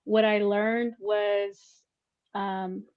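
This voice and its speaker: A woman taking part in a casual chat, speaking in a halting, reflective way. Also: medium pitch, flat delivery, smooth. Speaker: participant. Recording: dull, band-limited call audio.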